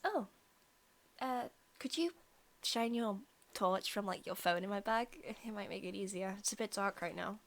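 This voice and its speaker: A young woman playing a possessive female vampire student in an intimate ASMR roleplay, softly spoken, partly whispered, coy and taunting. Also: medium pitch, close to an everyday voice. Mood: casual, distracted. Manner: soft, slow, coy talk sliding into taunting. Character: female student vampire, possessive, sweet-talking, menacing predator.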